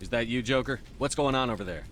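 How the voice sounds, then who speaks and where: A man's voice: medium pitch, fast talker, background noise, natural voice. A man playing a terse, commanding human space military commander in a video game, direct and no-nonsense. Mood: firm, commanding. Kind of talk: scripted game dialogue.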